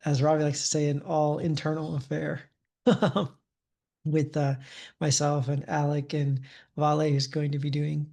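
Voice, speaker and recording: A man co-hosting a medical case conference, opening the session in a relaxed way with many filler pauses over a video call. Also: fairly high pitch, creaky. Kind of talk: casual show opening.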